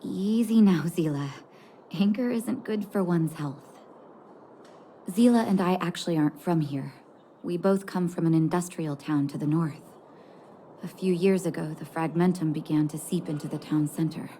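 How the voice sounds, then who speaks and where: A woman voicing a gentle, composed doctor in a video game, speaking in a soothing, measured way. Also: lowish voice, a little hiss or hum, natural voice. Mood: grave. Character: gentle, composed female doctor caring for an underground town. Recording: game music behind the voice. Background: music.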